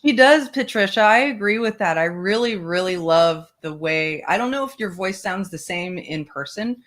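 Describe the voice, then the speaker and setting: A woman hosting a casual online book club stream, talking in a rambling, animated way and laughing often. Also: medium pitch, moderate pace. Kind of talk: casual livestream chat.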